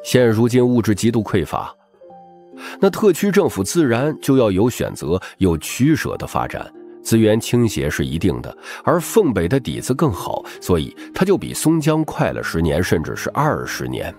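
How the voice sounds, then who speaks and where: A man reads a novel aloud in a low voice. His delivery is dramatic and moves up and down a lot, in the manner of a storyteller, with faint music behind him. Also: sing-song, moderate pace, darkish tone. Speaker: audiobook narrator. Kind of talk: scripted novel narration.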